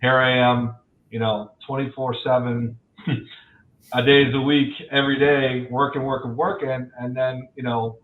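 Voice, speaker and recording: A man's voice: mid-range voice, level tone, unhurried. A man in his forties, a loan officer guest on a podcast, speaking candidly and reflectively. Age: 40s. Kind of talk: podcast interview. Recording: echoey, tinny remote call audio.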